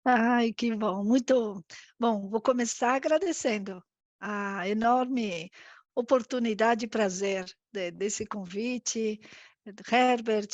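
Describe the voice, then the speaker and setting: Higher-voiced woman with an Argentine accent. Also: no echo, lo-fi sound. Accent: Argentine accent in Brazilian Portuguese. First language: Spanish. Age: 50s. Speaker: interview guest, risk management executive. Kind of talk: conversational interview answer.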